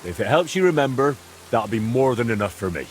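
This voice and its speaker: An actor playing a kindly, patient young man in a medieval video game, gentle and slightly hesitant as he coaxes. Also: mid-range voice, sing-song, a little fast, a little hiss or hum, close to an everyday voice. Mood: gentle, coaxing. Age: young adult. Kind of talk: dramatic video game dialogue.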